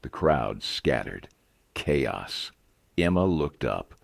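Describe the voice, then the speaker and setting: A man's voice: rumbling low, sing-song, slow, gravelly, no room sound, studio quality. A man narrating a scripted Western story dramatically, in short, suspenseful phrases.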